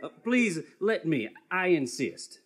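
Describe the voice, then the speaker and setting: A man playing a polite, secretive newcomer, courteous but evasive, with a husky voice in a live comic radio play. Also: on the high side, very animated, slow pace, full character voice. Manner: courteous, hesitant, nervously evasive.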